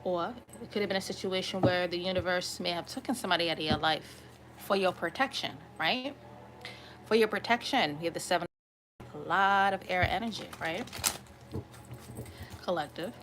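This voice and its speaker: A woman with a slightly raspy voice giving an improvised tarot reading to viewers, conversational and often checking in with listeners. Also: fairly low pitch, moderate pace, pauses often, a bit bright, slight background noise, low-quality recording. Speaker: tarot reader hosting an online collective reading.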